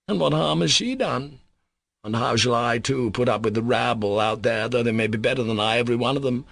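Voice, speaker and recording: A man's voice: mid-range voice, a little fast, creaky, a bit bright, dry recording, studio quality, voice pushed up. A man reading aloud as an anguished, passionate young Russian man who speaks in overwrought outbursts.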